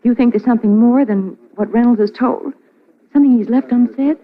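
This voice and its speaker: A woman's voice: on the high side, brisk, slight background noise, lightly acted. An actress playing an inquisitive, determined society lady in polished 1940s radio drama style. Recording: muffled, tinny old radio recording.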